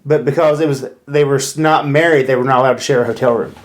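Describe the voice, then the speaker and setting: An American man co-hosting a casual chat podcast, explaining things in a halting, conversational way. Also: mid-range voice, fairly even intonation. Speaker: podcast co-host.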